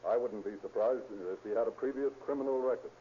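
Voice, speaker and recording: An actor playing a shrewd, sardonic police captain who questions with dry irony in 1930s radio drama style. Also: male voice, mid-range voice, a little fast, natural voice. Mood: thoughtful. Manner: probing, dryly ironic questioning. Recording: scratchy, muffled 1930s radio recording, hiss.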